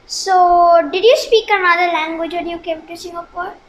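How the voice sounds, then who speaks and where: Very high-voiced young interviewer. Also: female voice, steady tone, normal speed. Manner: short, prepared questions. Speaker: student interviewer. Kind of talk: reading interview questions. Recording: echoey room with faint noise.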